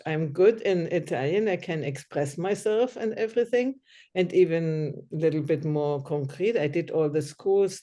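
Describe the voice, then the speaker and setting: A woman with a soft, mellow tone, German being her first language, talks in a relaxed group conversation. She speaks hesitantly, restarting sentences and hunting for the right words. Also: deep voice. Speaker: discussion group member. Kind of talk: casual conversation.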